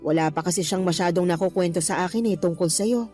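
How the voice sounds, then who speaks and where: An actress in a Filipino radio drama playing a warm, playful middle-aged mother. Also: on the low side, fast talker, faint music behind, lightly acted. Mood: reassuring.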